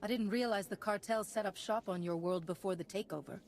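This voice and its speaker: An actress playing a composed, resolute Jedi master, formal and confident in a measured way. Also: female voice, medium pitch, darkish tone, natural voice. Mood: composed, inquiring.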